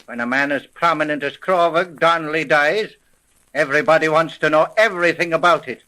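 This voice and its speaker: Casting: bossy radio-serial cop, forceful, higher-pitched voice, slangy, gruff interrogator's delivery. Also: male voice, a little fast, low-quality recording. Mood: sardonic, pressing. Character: tough, suspicious police sergeant, hard-boiled and bossy. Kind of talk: 1930s radio detective serial acting.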